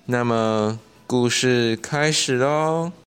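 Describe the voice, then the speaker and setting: A teenage boy serves as a student radio announcer. He reads a scripted programme introduction in a mid-pitched voice. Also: extremely slow, clear, resonant tone.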